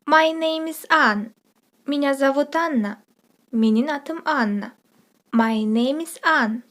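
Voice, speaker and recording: A woman teacher presenting a scripted multilingual language lesson, repeating phrases slowly and didactically for learners. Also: high voice, fairly bright tone. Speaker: language teacher, channel host.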